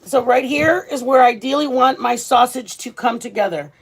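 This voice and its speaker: A woman's voice: fairly high pitch, moderate pace, gravelly, husky, poor audio. A woman hosting a home cooking vlog, talking casually and informally to her viewers in her kitchen. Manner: chatty, informal, addresses viewers as guys. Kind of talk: casual cooking demonstration to camera.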